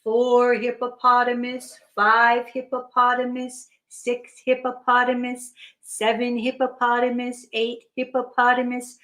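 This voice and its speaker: A woman voicing a gentle, easygoing hippo friend in a children's story, hesitant and simple. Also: on the high side, completely flat delivery, unhurried. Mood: playful. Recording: echoey room.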